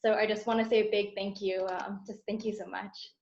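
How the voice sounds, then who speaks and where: A woman's voice: mid-range voice, flat delivery, brisk, a little mellow. A young woman, a university student giving a sincere thank-you speech to donors over a video call. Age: young adult. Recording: roomy dull video call audio.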